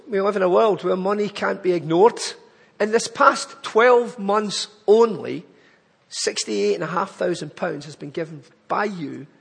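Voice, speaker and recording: A man's voice: high voice, creaky, breathy edge, big-room sound. A man with a Glaswegian accent giving an earnest, pleading charity appeal in church. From Scotland. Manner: earnest, pleading, building to emphatic lists.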